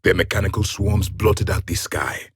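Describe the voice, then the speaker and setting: A man's voice: deep voice, normal speed, husky. A man playing a stern, vengeful ancient alien warrior for a video game, speaking in blunt, formal phrases. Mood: grim, disdainful. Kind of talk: video game character voice lines.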